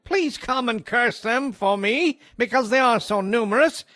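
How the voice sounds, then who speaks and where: Man acting a very high-pitched pleading royal messenger. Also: unhurried, pushed and bright, no room sound, clean studio sound, full character voice. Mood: alarmed, pleading. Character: anxious royal messenger speaking for a threatened king. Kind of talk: dramatized scripture audio acting.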